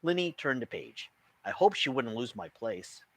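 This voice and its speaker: Male read-aloud narrator, bright tone, lively pitch, expressive children's book style. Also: normal speed, creaky, no room sound.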